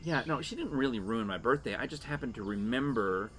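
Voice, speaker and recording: A man's voice: medium pitch, expressive, a little fast. An American man in his sixties chatting and telling stories casually as a podcast co-host. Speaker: podcast co-host, radio producer and director. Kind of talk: casual podcast chat and storytelling. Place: screened porch outdoors. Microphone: shared desktop condenser microphone on table. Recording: clear outdoor ambience noise, birds and insects.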